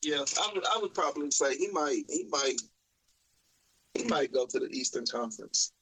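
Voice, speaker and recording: A man from Oklahoma co-hosting a casual sports talk show, easygoing and halting, often repeating his words. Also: medium pitch, expressive, fairly bright tone, slight background noise, poor audio. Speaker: podcast co-host.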